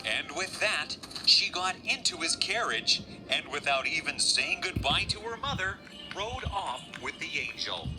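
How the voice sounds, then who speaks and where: A man's voice: fairly high pitch, very animated, a little slow, music in the background, rough recording. A man narrating a children's fairy tale as a scripted storyteller.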